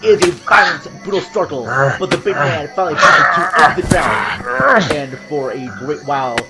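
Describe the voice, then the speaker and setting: A man's voice: on the high side, big pitch swings, normal speed. A man giving grave, theatrical scripted narration for a horror audio drama. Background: loud music and sound effects.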